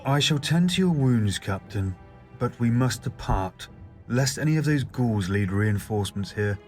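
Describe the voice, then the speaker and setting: A solemn warrior priest from a fantasy audio drama, played by a man. His voice is medium in pitch. He speaks with courteous formality and old-fashioned phrasing. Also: expressive, a little slow, darkish tone, soft background music, lightly acted.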